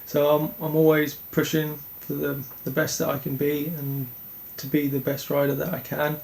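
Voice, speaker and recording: Flat, gravelly-voiced young man. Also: fairly high pitch. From Denmark. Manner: earnest, halting, many restarts. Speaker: speedway rider. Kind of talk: interview answers.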